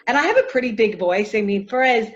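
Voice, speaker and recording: A woman's voice: a little high, animated, fast talker. Chatty woman, muffled lo-fi sound. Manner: chatty, warm-hearted, frequent fillers, trailing off softly. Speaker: actress and interview guest. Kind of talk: casual interview answers.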